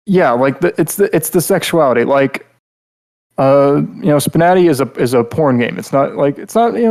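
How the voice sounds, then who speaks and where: A man's voice: medium pitch, darkish tone. A man co-hosting a podcast, giving casual critique in a rambling, blunt way with lots of verbal fillers. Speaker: podcast co-host.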